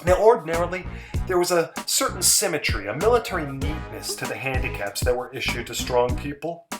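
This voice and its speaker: A man giving a dramatic story narration, theatrical and emphatic, with pauses for effect. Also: on the high side, lively intonation, music in the background.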